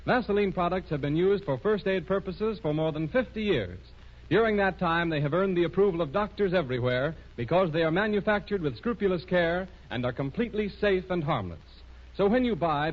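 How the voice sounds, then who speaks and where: A male radio announcer reading a script in a formal, measured 1930s sales style. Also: high voice, expressive. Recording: muffled 1930s radio recording.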